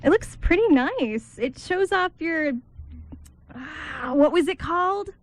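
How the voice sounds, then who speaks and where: A woman's voice: high-pitched, expressive, takes it slowly, full character voice. A woman playing an agreeable but self-doubting young bridesmaid in an amateur radio drama, with a hesitant, obliging manner. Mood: supportive.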